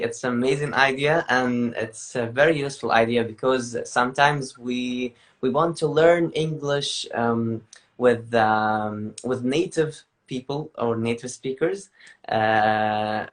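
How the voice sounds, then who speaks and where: Man, Emirati accent, interview host, slow, halting, filler-heavy. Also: medium pitch, a bit bright. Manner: halting, many filler pauses. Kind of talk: live interview show.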